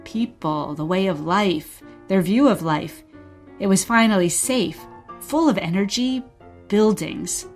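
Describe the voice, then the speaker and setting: A woman's voice: medium pitch, slow, faint music behind. A woman playing a wistful mother reminiscing about exile and telling her story simply in a radio play. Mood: fond, nostalgic. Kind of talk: short radio play dialogue, bedtime storytelling.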